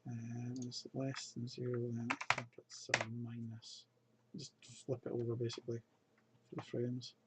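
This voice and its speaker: A man's voice: mid-range voice, monotone, normal speed, noisy background. A game developer, a man narrating his own coding in a recorded video, muttering each step as he works. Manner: mutters his steps as he codes. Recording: keyboard typing clicks behind.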